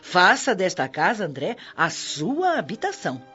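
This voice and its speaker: A kindly, humble old spirit mother in a Brazilian radio drama. She gives earnest, motherly counsel with very expressive intonation. Music plays softly behind the slightly muffled recording. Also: on the low side, measured pace, heavily acted. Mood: affectionate, encouraging. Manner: maternal, earnest, gently exhorting.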